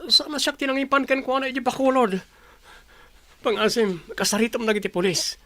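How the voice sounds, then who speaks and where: A man's voice: extremely high pitch, a little fast, pushed and bright, no room sound. A man playing a callous, scheming young groom in an Ilocano radio drama, with a Filipino accent. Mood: defensive, demanding.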